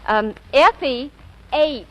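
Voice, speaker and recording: Lively, genteel housewife mother. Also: high-pitched, slow, dull audio. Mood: pleasant. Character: patient, slightly flustered middle-aged mother. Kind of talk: 1940s radio acting. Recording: noisy old radio broadcast recording.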